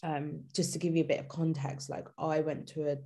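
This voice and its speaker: Brief: female guest, low pitch, loose chatty storyteller full of fillers. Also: flat delivery, a little fast, warm tone. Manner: rambling, heavy with "like" and "kind of". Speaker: guest, co-founder. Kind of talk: storytelling in casual conversation.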